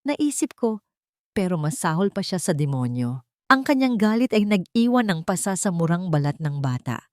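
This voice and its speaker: Low-voiced woman narrating a suspense story. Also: sing-song, normal speed, dry recording, studio quality. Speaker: audiobook narrator. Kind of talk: dramatic scripted first-person story narration.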